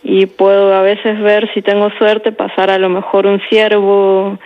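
A woman's voice: medium pitch, monotone, measured pace, highs cut off. A woman, a writer answering interview questions in a reflective way, often tagging "¿no?" and repeating words. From Peru.

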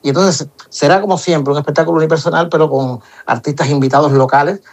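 A middle-aged Cuban man, an improvising poet, answering interview questions in an animated way with frequent restarts. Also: on the high side, fast. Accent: Cuban Spanish. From Cuba. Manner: animated, lots of repeated restarts.

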